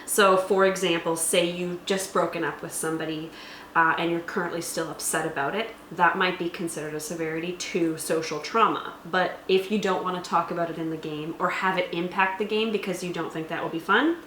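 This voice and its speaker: A woman's voice: on the low side, steady tone, a little fast, a bit bright. A woman hosting a casual explainer, talking through tabletop game rules. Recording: echoey room, faint background noise.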